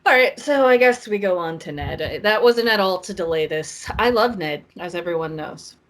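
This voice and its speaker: A woman's voice: lowish voice, animated, moderate pace. A woman co-hosting a casual book discussion, speaking in an opinionated, snarky, colloquial way. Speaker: podcast co-host.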